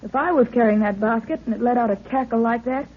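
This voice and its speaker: A woman's voice: fairly high pitch, fast talker, slightly rough. A woman playing an explorer's tired young adult daughter, plaintive and wry, in a 1930s radio adventure. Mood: wry, uneasy. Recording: tinny, noisy 1930s radio recording.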